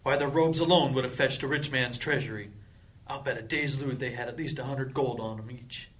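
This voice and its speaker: An actor playing a greedy, grumbling thief in a fantasy audio drama, griping quickly. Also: male voice, medium pitch, a bit bright, natural voice. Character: greedy, grumbling male thief eager for loot.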